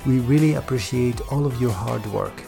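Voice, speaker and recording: Gravelly man hosting a podcast. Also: mid-range voice, moderate pace, over music. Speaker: podcast host. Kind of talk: scripted podcast introduction.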